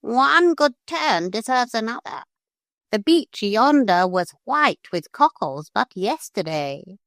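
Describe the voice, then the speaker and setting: A stately talking parrot in a storybook, earnest and calm, asking for help in a lofty, formal way, as a woman puts it on. The voice sits higher and lighter than a plain reading voice, with a lively, swooping lilt. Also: slow pace, dry recording, full character voice. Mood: earnest pleading.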